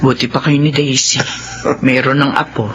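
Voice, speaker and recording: A woman's voice: rumbling low, flat delivery, normal speed, a bit bright, muffled recording, slight background noise. An actress playing an anxious, loving mother in middle age, tender and worried, in a radio drama. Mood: chatty. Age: middle-aged. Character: anxious, loving, lonely mother nearing retirement.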